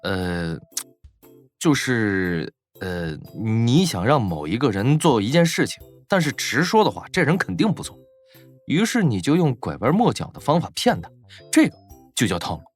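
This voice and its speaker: An actor playing a young university student boss in an audio drama, casual, teasing and a little exasperated. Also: male voice, mid-range voice, sing-song, moderate pace, faint music behind. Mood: suspicious, explaining.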